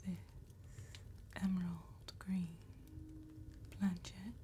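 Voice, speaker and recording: A woman playing an elegant, calm vampire tattoo artist in a soft-spoken, hushed and deliberate ASMR roleplay. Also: medium pitch, slight background noise. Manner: hushed, unhurried, deliberate phrasing.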